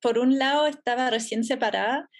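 A German woman in her thirties, guest on a podcast interview, talking about her business in a relaxed way and laughing easily. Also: on the high side, fairly quick. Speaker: entrepreneur guest.

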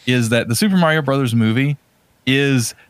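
A man in a casual movie discussion, with a medium-pitched voice. He rambles and restarts his thoughts as he goes. The sound is dry, with very little room in it. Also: moderate pace, dry recording. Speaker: podcast co-host. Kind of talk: casual chat about movies.

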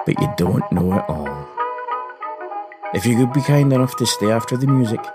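A man's voice: medium pitch, big pitch swings, moderate pace, over music. A Scottish man hosting a story podcast, narrating in the first person in a folksy, confiding way.

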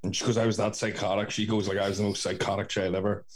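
A man with a Northern Irish accent in casual podcast chat, telling anecdotes in an animated, sweary way. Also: on the low side, quick pace. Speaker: podcast host.

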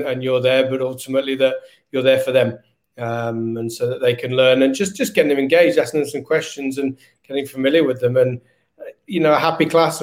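A middle-aged man speaking casually as a school principal being interviewed. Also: medium pitch. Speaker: guest, school executive principal.

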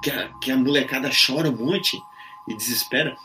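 A man's voice: mid-range voice, a little fast, pushed and bright, poor audio. Brazilian man telling eerie stories over music. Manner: colloquial, full of slang interjections. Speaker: podcast guest. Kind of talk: casual spoken storytelling of eerie personal experiences.

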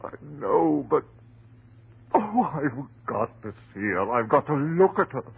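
A man's voice: medium pitch, sing-song, slow pace, creaky, breathy edge, exaggerated voice. A man playing an overwrought, melodramatic husband on the edge of madness in a 1940s radio horror play. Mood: anguished, pleading. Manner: anguished, melodramatic, swinging from murmurs to shouts. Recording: muffled, faintly noisy old radio recording.

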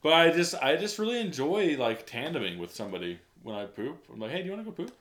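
A man's voice: a little high, sing-song, fast, fairly bright tone. A man co-hosting a podcast, teasing his friends and laughing hard. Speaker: podcast co-host. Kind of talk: casual chat.

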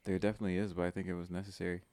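Low-voiced Kenyan man, dry close sound. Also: flat delivery, very fast, a little mellow, no room sound. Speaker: podcast guest. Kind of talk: casual chat among friends.

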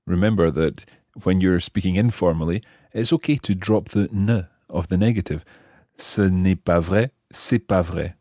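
A man hosting a language lesson, explaining example phrases piece by piece with careful, measured articulation. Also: low voice, mellow, no room sound, studio quality. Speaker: language teacher and host.

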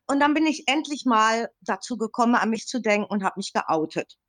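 Woman in her fifties, somewhat high voice. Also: lively intonation, brisk, a bit bright. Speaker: podcast guest. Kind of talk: casual personal account.

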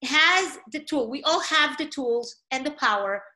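A woman's voice: sits high, expressive, unhurried, pushed and bright. A woman from Israel with an Israeli accent, a non-native English speaker, hosting a casual online talk in an enthusiastic, encouraging, emphatic way. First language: not English. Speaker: host of a live online talk session. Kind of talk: casual interview chat. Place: online video call.

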